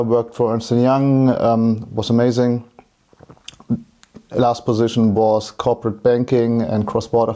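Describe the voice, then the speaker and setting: A man's voice: mid-range voice, dark tone. A man in his thirties with a German accent, telling his story casually as an interview guest. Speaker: podcast guest, entrepreneur.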